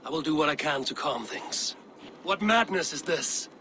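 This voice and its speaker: Eivor, a man playing a calm, commanding Viking. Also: on the high side, normal speed, background noise, close to an everyday voice. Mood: steady. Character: steady male Viking warrior leader, Eivor. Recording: game audio with ambient background.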